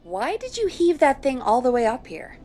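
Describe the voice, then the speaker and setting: A brisk radio producer in a video game, sardonic and easily exasperated. The woman's voice is fairly high and very lively in pitch, with music playing behind it.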